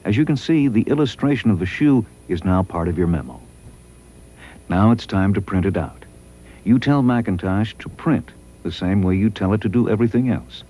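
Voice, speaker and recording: A man reading scripted promotional training narration in a measured, instructional way. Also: fairly low pitch, lively intonation, warm tone.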